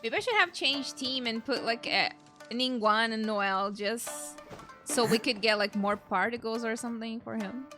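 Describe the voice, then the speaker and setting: A woman's voice: fairly high pitch, moderate pace, pushed and bright. A young woman giving casual let's play commentary, thinking aloud in a giggly, self-deprecating way. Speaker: streamer playing a game. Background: game music.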